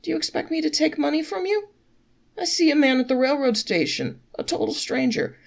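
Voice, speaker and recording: A woman's voice: medium pitch, moderate pace, creaky, breathy edge. A woman doing a sly, coaxing male innkeeper. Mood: mock-offended.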